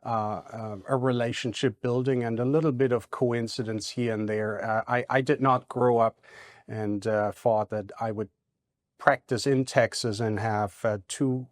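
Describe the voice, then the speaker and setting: German-accented man, halting speech. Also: medium pitch, steady tone, no room sound. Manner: halting, many fillers and restarts. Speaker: guest attorney. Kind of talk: studio interview. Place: TV studio.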